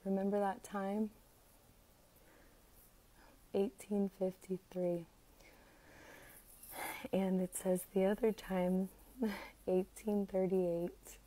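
An American woman in her thirties with a raspy voice, speaking haltingly and casually in a devotional vlog. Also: medium pitch, flat delivery, dark tone. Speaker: religious content creator. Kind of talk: casual devotional vlog about scripture numbers.

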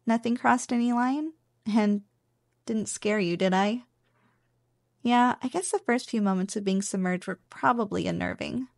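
A woman playing a playful, possessive but affectionate slime girl roommate in an ASMR roleplay, speaking softly and breathily with a teasing manner. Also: a little high, vocal fry, dry recording, clean studio sound. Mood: affectionate, casual. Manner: playful, teasing, slow and savoring.

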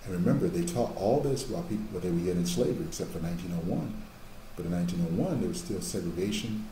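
A man in his fifties preaching a sermon through a webcam in a small office. His voice is low and warm, and the room adds a noticeable echo with a faint hum behind it. He builds to emphatic bursts and then drops back to quiet asides. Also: expressive, fast. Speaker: pastor. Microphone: webcam on a video call.

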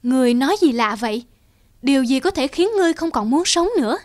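High-voiced stately goddess played by a woman. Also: lively intonation, fast, a little mellow, no echo, clean studio sound. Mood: curious. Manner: formal, admonishing. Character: stately love goddess, questioning and cautioning. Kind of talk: cartoon fairy-tale voice acting. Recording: music behind the voice.

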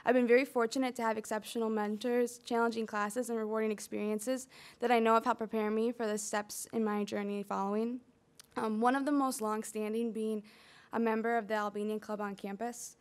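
A woman's voice: on the high side, flat delivery, a little fast. A woman in her early twenties from the United States, giving a prepared student speech at a podium with casual asides. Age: early 20s. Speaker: student speaker. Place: lecture hall, at a podium.

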